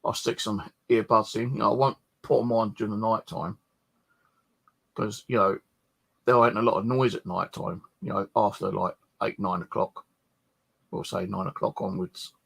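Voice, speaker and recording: A man's voice: fairly low pitch, fairly even intonation, normal speed, creaky, a bit bright, breathy edge. A man chatting casually and ramblingly about his own life, grumbling and swearing in a colloquial way. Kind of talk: casual rambling personal chat.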